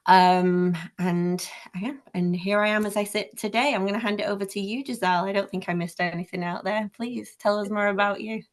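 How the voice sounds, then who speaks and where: A woman's voice: medium pitch, steady tone, brisk, hardly any pauses. A woman from Manchester, England, with a Manchester accent, presenting a webinar over a video call in an earnest, careful way, often correcting herself mid-sentence. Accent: Manchester English. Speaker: webinar presenter and company founder.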